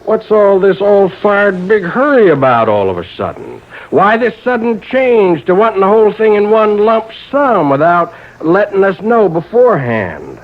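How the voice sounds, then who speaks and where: An actor playing a folksy, nervous old small-town insurance agent with a rural American accent, hesitant and drawn out in old-time radio drama style. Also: male voice, high voice, sing-song, moderate pace, hardly any pauses. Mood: suspicious. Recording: narrow-band vintage radio recording.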